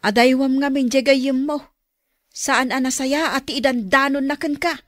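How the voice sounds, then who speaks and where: A woman's voice: sits high, fairly even intonation, unhurried, no echo, clean studio sound. A woman playing a concerned middle-aged mother who gives counsel, in an Ilocano radio drama. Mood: firm. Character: concerned mother counselling a quarrelling married couple.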